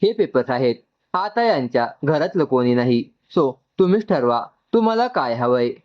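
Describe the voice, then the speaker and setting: A man's voice: medium pitch, sing-song, normal speed, dull audio, lightly acted. A man voicing a formal, direct hospital doctor in a dramatic story. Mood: grave, businesslike.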